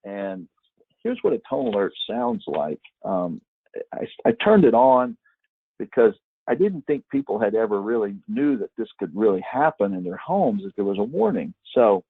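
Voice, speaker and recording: A middle-aged man with a husky voice giving an informal, conversational webinar talk as presenter, explaining things and reminiscing. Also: on the low side, very animated, normal speed, dry recording. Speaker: webinar presenter, former broadcast meteorologist. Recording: muffled narrow phone-like line.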